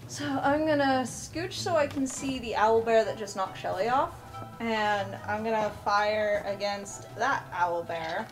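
A woman's voice: medium pitch, unhurried. A woman talking casually as a player in an improvised tabletop fantasy game. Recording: music behind the voice.

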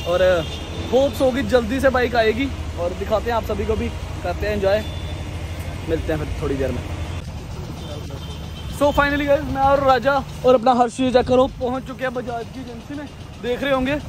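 A young man vlogging casually and excitedly to his viewers outdoors, filming himself on an action camera held at arm's length. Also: very high-pitched, moderate pace. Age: young adult. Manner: excited, addresses viewers as guys and brother. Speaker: motovlogger. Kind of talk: casual vlog chat. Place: outdoors by a roadside and a showroom lot. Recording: action camera with loud street noise.

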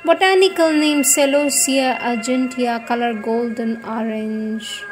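A woman giving a devotional scripted reading in a measured, deliberate manner, pausing between phrases. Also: on the high side, steady tone, noisy background. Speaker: reader. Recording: loud music under the voice. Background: music.

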